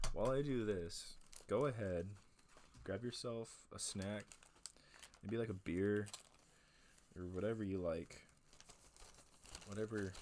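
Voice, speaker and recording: A young man with a very low voice rambles easily between tracks, joking at his own expense. He talks in a relaxed, unhurried way, with a faint hum of room noise behind him. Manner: rambling, self-mocking and laid-back. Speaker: host. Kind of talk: casual chat between songs.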